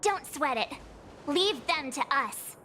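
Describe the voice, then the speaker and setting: A woman's voice: sits high, lively intonation, slow, sharp tone, a little hiss or hum, full character voice. An actress playing a perky, bold young female adventurer in a video game. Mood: confident. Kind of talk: anime-style video game dialogue. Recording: background music throughout.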